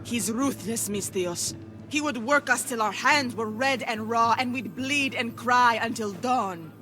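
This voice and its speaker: A woman's voice: a little high, a little slow, over music, close to an everyday voice. An actress playing a defiant, streetwise peasant mother in a video game, feisty and earnest. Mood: bitter, pleading. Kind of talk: video game cutscene acting.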